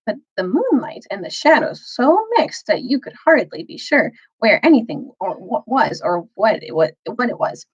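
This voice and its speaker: A woman reads a children's novel aloud with lively, swooping intonation. Her medium-pitched voice is a little husky, and she phrases carefully for emphasis. The recording is slightly dull. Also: moderate pace, few pauses. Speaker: storyteller, teacher reading aloud.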